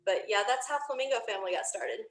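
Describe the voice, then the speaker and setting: High-voiced, chatty woman in an echoey room. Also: fast talker. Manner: chatty, animated storytelling, laughs easily. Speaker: podcast creator, interview guest. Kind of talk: casual interview chat. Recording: roomy, echoing room sound.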